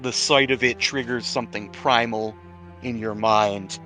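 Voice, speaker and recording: A man acting as game master, narrating a tabletop role-playing game in measured, deliberate phrases with pauses. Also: mid-range voice, fairly even intonation, sharp tone, soft background music.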